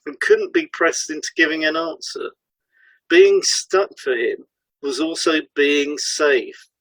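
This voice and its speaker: A man's voice: fairly high pitch, slightly rough, no room sound, poor audio. A man co-hosting a podcast, recounting a client case in a scripted dialogue. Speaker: podcast co-host, hypnotherapist.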